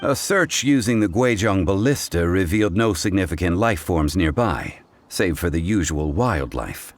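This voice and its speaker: A man voicing a composed, scholarly gentleman in a video game, speaking in a formal, courteous and faintly reproving manner. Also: low-pitched, expressive, moderate pace. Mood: calm, matter-of-fact. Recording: music under the voice.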